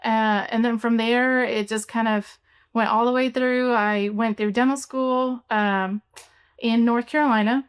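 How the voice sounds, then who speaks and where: Smooth-voiced woman orthodontist. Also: on the high side, a little slow. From Cuba. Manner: relaxed, many fillers and restarts. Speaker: orthodontist guest. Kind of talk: conversational interview answers.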